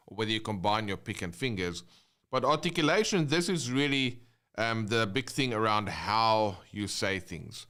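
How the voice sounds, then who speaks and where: A man with a South African accent teaching guitar techniques, explaining step by step in a relaxed way between demonstrations. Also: fairly low pitch, clear, resonant tone. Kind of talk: instructional talk with guitar demonstrations. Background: his own guitar playing between phrases.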